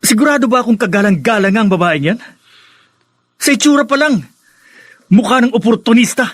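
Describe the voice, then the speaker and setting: Radio drama son: defiant and scornful, high-pitched, raspy edge, very dry sound. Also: male voice, expressive, brisk, fairly bright tone, dry recording, heavily acted. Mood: accusing, sarcastic. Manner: sarcastic, confrontational, switches into English. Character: bitter, defiant grown son rejecting his new stepmother.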